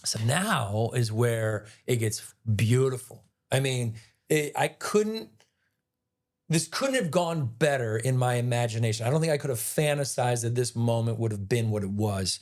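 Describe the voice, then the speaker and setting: Raspy-voiced male actor, chatty guest spinning a story, loose and animated. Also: medium pitch, moderate pace. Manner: animated, rambling retelling with many "like"s. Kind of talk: casual storytelling interview.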